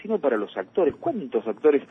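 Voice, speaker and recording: A man's voice: mid-range voice, big pitch swings, fairly quick, slightly rough, phone-quality sound. A man with a Rioplatense Argentine accent hosting a radio interview about past programming. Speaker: radio host. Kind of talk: radio interview talk, reading out old programme listings.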